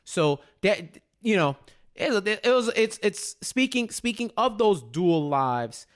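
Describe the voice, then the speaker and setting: Higher-pitched man in casual recap chat. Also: very animated, slow pace. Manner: slangy, repeats phrases as thoughts form, checks in with "right?". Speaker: podcast co-host.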